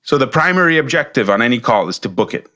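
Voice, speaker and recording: A man's voice: medium pitch, sing-song, quick pace, pushed and bright. A man hosting an instructional business podcast, coaching listeners in an emphatic way and repeating key points for stress.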